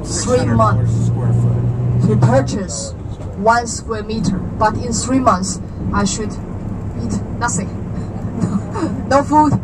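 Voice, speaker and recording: A woman's voice: on the high side, extremely slow. A woman in her thirties from China, with a Chinese accent, explaining things to visitors on a walking tour in a chatty way, asking questions and joking. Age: 30s. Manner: chatty and explanatory, asks listeners questions, jokes. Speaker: tour guide. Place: outdoors on a city street. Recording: traffic noise from the street.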